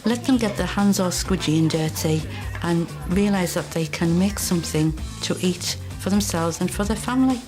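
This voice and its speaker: A woman's voice: on the low side, moderate pace. A woman teacher who runs a school cooking club, answering interview questions earnestly and plainly. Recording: music playing behind.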